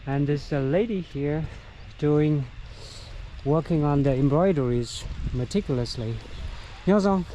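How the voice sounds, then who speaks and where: A middle-aged man whose first language is Vietnamese, working as a local guide and narrating a casual vlog outdoors in an unhurried, halting way with many fillers. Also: a little high, warm tone, a little hiss or hum, low-quality recording. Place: outdoors on countryside trails.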